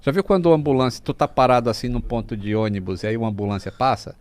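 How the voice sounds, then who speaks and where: A man's voice: mid-range voice, fairly even intonation, quick pace. A man with a Brazilian accent talking casually as a podcast guest, explaining things like a storyteller and mimicking sounds as he goes.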